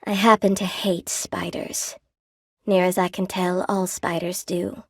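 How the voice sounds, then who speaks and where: A woman's voice: on the low side, little pitch movement, a little slow, dry recording, clean studio sound. An actress voicing a friendly, wry spider weaver woman in a video game, chatty and coy, with a folksy, lightly theatrical delivery. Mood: playful, confiding. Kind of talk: video game character dialogue. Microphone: close dry studio microphone.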